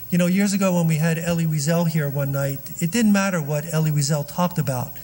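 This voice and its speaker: A man's voice: on the high side, a little mellow. A man introducing a guest speaker at a synagogue event, chatty and joking, with many fillers. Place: synagogue hall. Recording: long hall reverb.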